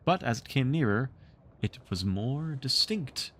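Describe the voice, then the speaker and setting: Male storybook narrator, unhurried, expressive, lively swoops in intonation, mid-pitched. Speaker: streamer reading a story aloud.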